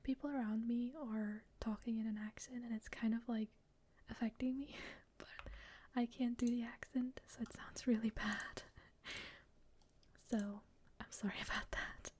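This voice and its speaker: A woman whispering while she plays and describes an online game, shy and a little rambling, with frequent soft laughs. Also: on the high side, level tone, a little slow, a little hiss or hum. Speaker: gamer.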